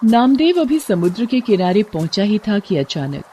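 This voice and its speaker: Female storybook narrator, emphatic, mid-pitched. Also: sing-song, moderate pace, warm tone, a little hiss or hum.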